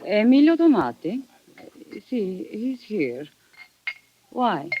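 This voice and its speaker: Coaxing Sicilian waitress, swooping intonation, flirtatious, muffled vintage radio drama. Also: female voice, mid-range voice, sing-song, slow pace, background noise. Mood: obliging. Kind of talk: 1950s radio drama acting. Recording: muffled old radio recording.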